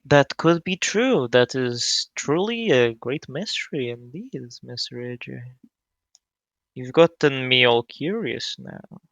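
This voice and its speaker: A man playing an elusive, courteous fantasy adventurer with formal, deliberate wording in an improvised roleplay. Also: mid-range voice, expressive, a bit bright, dry recording. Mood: intrigued. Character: elusive, courteous male guild friend in fantasy world.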